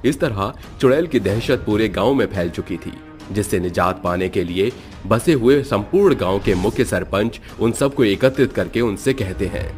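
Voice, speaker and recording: Low-voiced male horror narrator. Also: expressive, moderate pace, few pauses, darkish tone, faint music behind. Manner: dramatic storytelling. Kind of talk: scripted cartoon horror narration.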